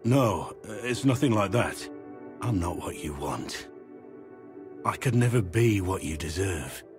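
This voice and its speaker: Here is a brooding, middle-aged game warrior weighed down by guilt. His voice sits deep and moves slowly. He is grave, courteous and formal, halting as he searches for words. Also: lively intonation, music in the background, heavily acted. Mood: anguished, conflicted. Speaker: video game companion character. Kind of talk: dramatic video game dialogue.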